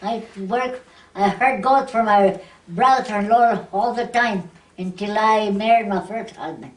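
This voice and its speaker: A woman's voice: medium pitch, unhurried. A ninety-year-old woman reminiscing casually and plainly, often repeating her phrases. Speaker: oral history interviewee. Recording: echoey room, distant microphone.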